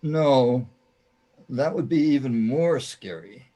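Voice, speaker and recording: A man's voice: medium pitch, expressive, takes it slowly, mellow. A man playing a lonely, troubled, questioning hero in a radio play, sounding plaintive. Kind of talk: amateur radio play acting.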